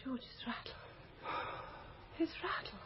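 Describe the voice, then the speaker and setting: A woman's voice: slow, lightly acted. An actress playing a haughty, anxious lady of the manor in a period radio drama, with a dramatic manner. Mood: sharp, agitated. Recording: dull, noisy radio drama recording.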